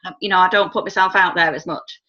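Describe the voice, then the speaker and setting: A woman's voice: lowish voice, fairly even intonation, fast, pushed and bright. An English woman leading a team training video call, chatty and reassuring, describing herself as shy. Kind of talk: casual group video call. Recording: muffled online call audio with faint noise.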